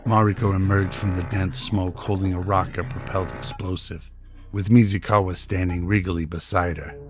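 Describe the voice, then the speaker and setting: A man with a low voice delivers scripted narration for a radio drama. He works as the storyteller, and music plays clearly behind his words. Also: fairly even intonation, normal speed.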